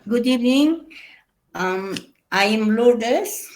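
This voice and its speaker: A woman in her fifties with a Peruvian Spanish accent speaks very slowly on a video call. Her beginner English comes out halting, with many pauses. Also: a little high, lively intonation, a little mellow. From Peru. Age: 50s. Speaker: student.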